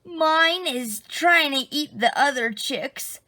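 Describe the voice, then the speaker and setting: A woman's voice: sits high, lively intonation, slow, pushed and bright, exaggerated voice. A woman voicing a plain-spoken, unsure young schoolgirl in a children's storybook. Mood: unsure, matter-of-fact.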